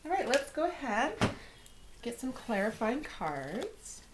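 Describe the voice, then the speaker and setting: A woman with a head cold and a slightly raspy throat, hosting and talking through a weekly tarot card reading in a soft, unhurried way. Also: on the high side, big pitch swings, background noise. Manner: soft, unhurried, with whispered invocations. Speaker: tarot reader.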